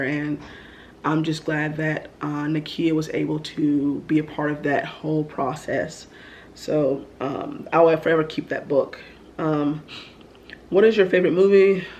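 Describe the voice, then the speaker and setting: A woman's voice: deep voice, muffled recording, slight background noise. A young woman, a bit stuffy from the flu, chatting casually through questions about herself with lots of ums. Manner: chatty, rambling, many ums. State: stuffy, getting over the flu. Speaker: vlogger. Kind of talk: casual get-to-know-me Q&A.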